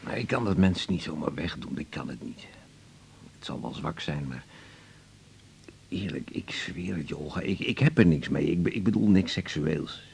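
An actor playing an older, ailing father in a radio drama, halting and confiding, fearful yet proud, with a gravelly voice that often drops to breathiness. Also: rumbling low, expressive, a little mellow, slight background noise, heavily acted. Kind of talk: naturalistic radio drama acting.

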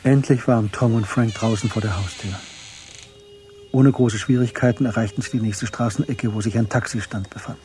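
A man's voice: fairly low pitch, steady tone, moderate pace, darkish tone. Male radio-play narrator over music. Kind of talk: scripted radio drama narration.

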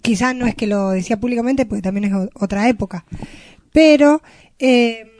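A woman's voice: a little high, normal speed, darkish tone. A woman with an Argentine accent chatting casually as a radio co-host, explaining things in an irreverent way. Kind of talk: casual chat.

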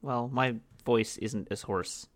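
A man's voice: mid-range voice, fairly even intonation, takes it slowly, no echo. A young man co-hosting a casual movie podcast, dry and matter-of-fact as he recaps a plot. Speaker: podcast co-host.

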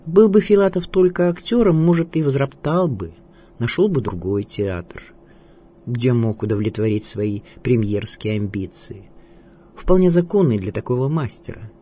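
A man reading a scripted biographical narration in a measured, literary and declamatory way. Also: medium pitch, animated, warm tone, husky. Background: music.

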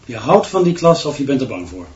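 A man's voice: medium pitch, big pitch swings, fairly quick, a little mellow, close to an everyday voice. A man playing a wry, musing schoolteacher colleague in a radio drama, making short dry remarks. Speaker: actor in a radio play. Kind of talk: conversational radio drama acting. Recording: dull, echoey room.

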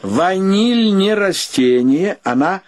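A man's voice: sits high, animated. A male actor playing a stubborn, argumentative bachelor with mock-solemn, pedantic delivery in a radio play. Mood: pedantic, bickering. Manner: theatrical, drawn-out, pedantic. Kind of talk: Russian radio play acting.

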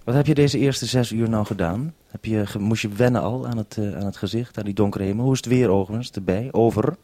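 Low, mellow Dutch radio man. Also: quick pace, no room sound. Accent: Dutch, from the Netherlands. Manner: wry, dryly ironic. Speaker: radio presenter. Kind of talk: radio report and two-way interview.

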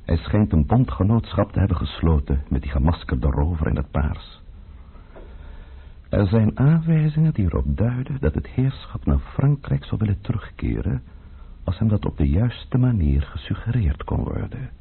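An actor playing a grave, ominous letter writer in a radio play, speaking in measured phrases with a gravelly, husky voice. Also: male voice, lowish voice, very animated, heavily acted. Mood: scheming. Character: grave male letter writer warning of revolution and plotting. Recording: dull lo-fi old radio recording. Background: faint music.

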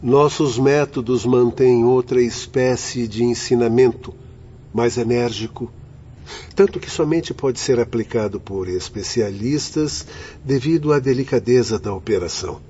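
A calm spirit instructor voiced by a male actor explains things in a Brazilian radio drama. His voice is dark and mellow, composed and didactic, in a dull, slightly noisy recording. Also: medium pitch, unhurried, natural voice. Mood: calm, instructive. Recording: dull audio with background noise.

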